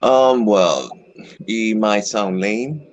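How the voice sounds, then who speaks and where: Low-voiced Venezuelan-raised man, halting delivery. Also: dry recording, dull audio. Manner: halting, frequent "you know" fillers. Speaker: interview guest, electric skateboard rider. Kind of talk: casual video call interview.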